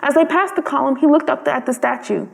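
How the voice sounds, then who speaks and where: A woman's voice: high-pitched, fairly quick, gravelly. A woman reading a children's story aloud as scripted narration. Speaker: storybook reader. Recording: long hall-like reverb.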